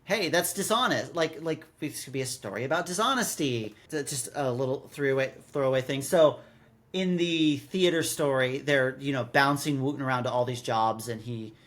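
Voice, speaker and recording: A man's voice: mid-range voice, normal speed, fairly bright tone. A man co-hosting a podcast, recapping a story in casual chat with many repeated words and rambling, exasperated asides. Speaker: podcast co-host. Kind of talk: casual chat, recapping and critiquing a radio drama. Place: recorded in person with co-host.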